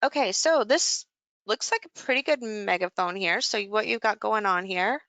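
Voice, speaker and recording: A woman's voice: medium pitch, normal speed, a bit bright, no room sound. A woman coaching a live session, explaining things informally and conversationally as she thinks aloud over charts.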